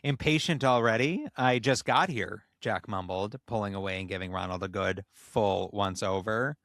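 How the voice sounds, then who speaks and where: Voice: low-pitched, moderate pace, fairly bright tone, no room sound. Podcast reader with a dry, close sound. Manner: playful, dramatic reading broken by quips. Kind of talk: reading fan fiction aloud with joking asides.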